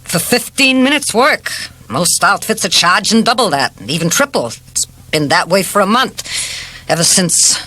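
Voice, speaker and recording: A woman's voice: fairly low pitch, expressive, normal speed, bright, forceful tone. A woman in her sixties voicing a nervous, apologetic working-class repairman in an audiobook, with colloquial, uneasy speech. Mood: miserable. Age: 60s. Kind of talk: audiobook character voice.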